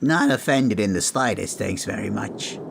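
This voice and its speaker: A man's voice: fairly low pitch, normal speed, creaky. A man puts on a little goblin adventurer for a fantasy roleplay, blunt and easily riled. The manner is brash and informal, with faint noise in the background. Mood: miffed. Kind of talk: roleplay audio drama.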